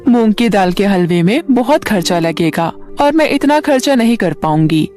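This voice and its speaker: A woman's voice: mid-range voice, expressive, normal speed, dark tone, lightly acted. Woman as careworn mother over music. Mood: resigned. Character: poor, careworn mother in a fairy tale. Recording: music bed under the voice.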